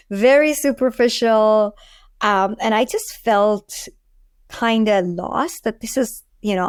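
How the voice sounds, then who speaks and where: A woman's voice: medium pitch, dry recording, studio quality. A woman in her forties from India, with an Indian accent, talking casually as an interview guest. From Delhi, India. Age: 40s. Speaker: podcast guest, food entrepreneur.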